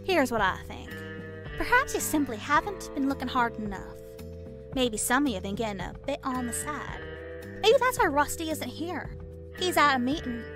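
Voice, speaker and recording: A woman's voice: high voice, very animated, normal speed. A woman playing a pushy interviewer who needles and insinuates. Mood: sly. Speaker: interviewer questioning the constable. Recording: music playing behind.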